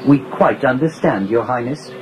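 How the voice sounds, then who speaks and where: A man's voice: medium pitch, moderate pace, close to an everyday voice, low-quality recording. An actor playing a prim, deferential male protocol droid with formal, pedantic speech in a 1980s audio drama. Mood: fussy, polite. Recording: music behind, radio drama production.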